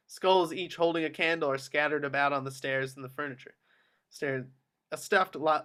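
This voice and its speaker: Male narrator, fairly high, bright forceful tone, reading scripted action description. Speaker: narrator reading stage directions. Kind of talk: scripted narration for a table read.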